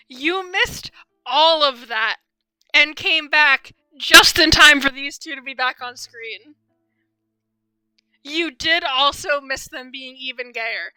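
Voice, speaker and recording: Woman, high pitched, very bright and sharp, casual game commentary, animated, rambling, faint music behind. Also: dry recording, poor audio. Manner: rambling, animated fan reactions. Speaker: game streamer. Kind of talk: casual live stream commentary.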